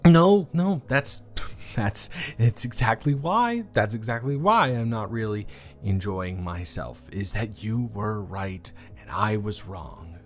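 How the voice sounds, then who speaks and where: A man's voice: lowish voice, animated, slow pace, soft background music. Stammering, flustered young man. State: overwhelmed. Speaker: voice actor in a romance audio drama. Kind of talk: one-sided audio roleplay to the listener.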